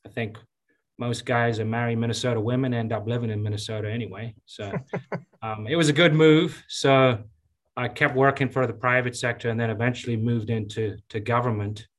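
A man in his fifties from Zambia, a county engineer giving relaxed, chatty interview answers with many fillers and restarts. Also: mid-range voice, brisk. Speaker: county engineer and public works director.

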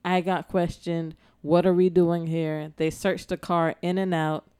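A woman with a lowish, smooth and resonant voice tells a story in relaxed podcast chat. She acts out each line of dialogue as she goes. The sound is dry and close to the mic. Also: a little slow, no room sound. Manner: animated storyteller acting out dialogue. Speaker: podcast co-host.

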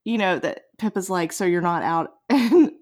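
A woman's voice: lowish voice, animated, moderate pace, darkish tone. A woman co-hosting a podcast, chatting in an enthusiastic, informal way and leaning on "like" a lot. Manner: informal, enthusiastic, says "like" constantly. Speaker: podcast co-host and interviewer. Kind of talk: casual discussion.

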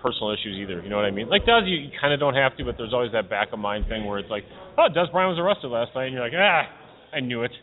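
A man's voice: mid-range voice, very animated. A man co-hosting a casual fantasy football podcast. He hedges a lot and fills his talk with "you know" and "like". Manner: rapid, hedging, full of fillers. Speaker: podcast co-host. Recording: phone-like narrow sound.

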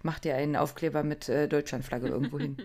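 Woman co-host, low-ish voice, relaxed podcast banter with fillers. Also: quick pace. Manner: chatty, filler-heavy, laughs often. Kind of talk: casual chat.

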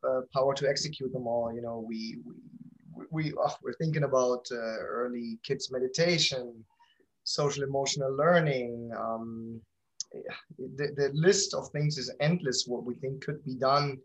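A man's voice: mid-range voice, normal speed, a bit bright, poor audio. A man in his thirties talking casually as an interview guest, candid and rambling, often correcting himself mid-sentence. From outside the United States. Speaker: guest, company founder and father.

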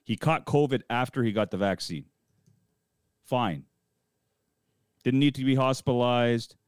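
A man's voice: mid-range voice, a little slow, clean tone, dry recording, clean studio sound. A man co-hosting a talk show, speaking casually in a plainspoken, emphatic way. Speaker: show co-host.

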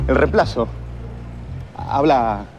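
An actor with a Rioplatense Argentine accent playing a pushy, flustered agent who stammers and repeats himself in a period drama. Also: male voice, mid-range voice, takes it slowly, gravelly, a little mellow, highs cut off, soft background music. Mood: solemn, persuasive. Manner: stammering, repeating words insistently. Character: pushy, flustered smooth-talking male agent pitching a singer.

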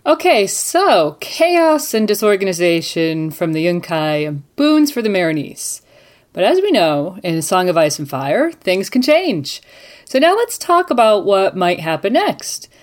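A woman's voice: mid-range voice, big pitch swings, a little slow. A woman hosting a podcast discussion, summing up and steering the topics in an organised way. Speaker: podcast host. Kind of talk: hosting a discussion, partly scripted.

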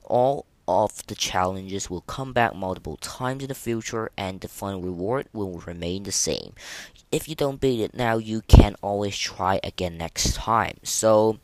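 Low-pitched man, halting casual podcaster. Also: no room sound. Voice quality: voice that cracks now and then. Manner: halting, repetitive, informal delivery. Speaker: podcast host. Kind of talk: casual unscripted gaming podcast.

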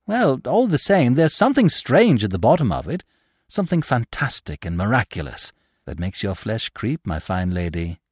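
A man's voice: mid-range voice, sing-song, normal speed, a little mellow, slightly rough, no echo, clean studio sound. A man voicing a captive adventurer in a storybook, composed, wry and courteously mocking.

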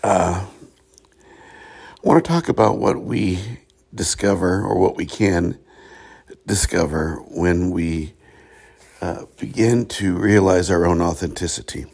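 A hoarse middle-aged man hosting a reflective spiritual talk show, speaking in a halting way with many pauses. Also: low-pitched, a little mellow. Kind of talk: reflective solo monologue.

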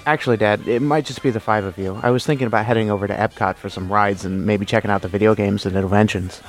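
Low-voiced casual son played by a man. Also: steady tone, quick pace, natural voice. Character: casual, easily tempted son. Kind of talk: audio drama dialogue.

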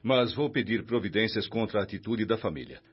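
A man playing a caring, authoritative hospital supervisor in a radio drama, measured and instructive, with a Brazilian accent. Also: fairly low pitch, expressive, slightly rough. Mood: grave, instructive. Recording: dull radio-drama sound, music behind.

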